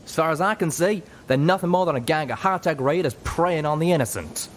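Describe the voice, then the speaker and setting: Man acting male cartoon pegasus, Southern US drawl, higher pitch, brash, folksy, hot-headed, storybook reading. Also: expressive, fairly quick, a little hiss or hum, close to an everyday voice. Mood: defiant anger. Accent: Southern US cowboy drawl.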